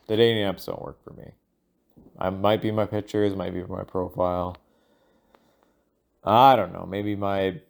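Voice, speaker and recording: Man rambling slowly, thinking aloud. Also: low voice, creaky, a little mellow. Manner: halting, thinking aloud, loose and informal with profanity; acts out imaginary dialogue. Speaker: solo audio log host. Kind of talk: rambling casual audio monologue.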